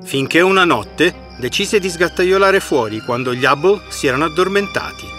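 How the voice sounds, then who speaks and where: A man narrating a scripted fairy tale in an expressive storytelling manner. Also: on the high side, moderate pace. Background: background music.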